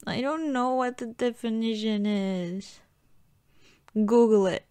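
Woman doing a whiny pouty girlfriend asmr thing, super slow n halting, giggly fake sulking, dry close mic. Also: fairly high pitch, dark tone, clean tone, no echo. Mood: giggly protesting. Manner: halting, giggly, mock-sulky pleading. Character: playfully whiny, pouting young girlfriend. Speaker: ASMR roleplay performer. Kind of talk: improvised intimate ASMR girlfriend roleplay.

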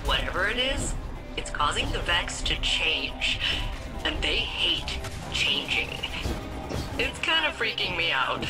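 A woman's voice: lowish voice, very animated, takes it slowly, rough recording. An actress voicing a quirky, cheerful female ship AI in a video game, with a chirpy, playful delivery. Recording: game audio with loud music.

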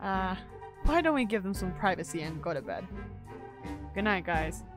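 A woman voicing a level-headed young female demon who talks in a dry, calm way. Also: mid-range voice, moderate pace, over music, close to an everyday voice.

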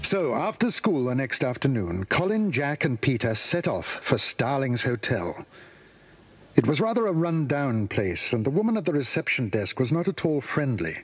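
A man's voice: medium pitch, big pitch swings, normal speed, breathy edge, soft background music. A man with a British accent narrating a scripted children's story.

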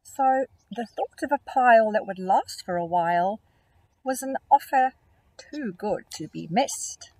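Middle-aged woman, teacher reading to kids; breathy, animated storyteller, phone camera outdoors. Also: a little high, a little slow, low-quality recording. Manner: expressive, storytelling. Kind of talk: reading a picture book aloud to children. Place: outdoors in a grassy field.

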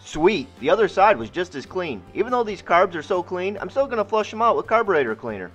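Male DIY narrator, high voice with lively pitch swings, scripted tutorial delivery. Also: a little fast. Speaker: DIY host. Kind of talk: scripted how-to voice-over narration. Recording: muffled, band-limited voice-over with music. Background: music.

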